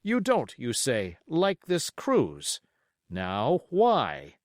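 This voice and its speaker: A reasonable gentleman doctor, voiced by a male audiobook reader. He questions courteously and with quiet authority, slowly, with a widely moving pitch. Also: wildly swooping pitch, dry recording, clean studio sound, heavily acted. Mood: firm, mediating. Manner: measured, courteous, probing. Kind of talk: audiobook character acting.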